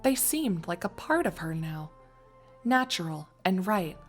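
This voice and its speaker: A woman reading scripted story narration in an expressive, wry storytelling manner. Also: fairly low pitch, a little slow, soft background music. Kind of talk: scripted fan fiction narration.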